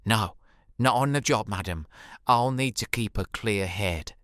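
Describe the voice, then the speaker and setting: A man's voice: fairly low pitch, unhurried, a bit bright, dry recording, studio quality, voice pushed down. A man voicing a polite, deferential British plumber, courteous and matter-of-fact, in an audio play. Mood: dutiful, polite.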